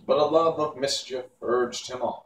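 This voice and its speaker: A man's voice: mid-range voice, fairly even intonation, normal speed. A man reading a children's novel aloud as narrator, in an unpolished amateur way. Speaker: storybook reader. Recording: echoey room, distant mic, faint hiss.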